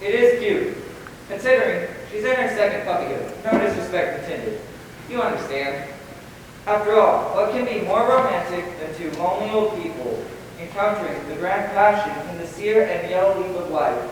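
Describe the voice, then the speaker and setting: Male actor as cheeky, flowery schoolboy, high voice, radio-comedy style, far from mic with heavy echo. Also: moderate pace, natural voice. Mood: dreamy, florid. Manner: florid, theatrical phrasing. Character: earnest, flowery teenage schoolboy, cheeky and romantic. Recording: echoey hall from far off, faint noise.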